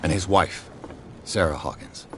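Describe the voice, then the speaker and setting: A man's voice: low voice, a little slow. An actor playing a reserved, serious male investigator in a video game, terse and polite. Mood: serious, probing. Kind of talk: video game character dialogue. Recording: noisy boat and water ambience behind.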